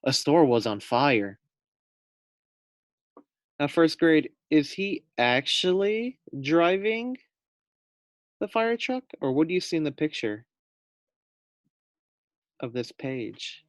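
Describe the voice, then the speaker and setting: A man's voice: on the high side, sing-song, slow, long pauses, dry recording, studio quality. A man reading a children's storybook aloud as a teacher, expressively, putting questions directly to young listeners.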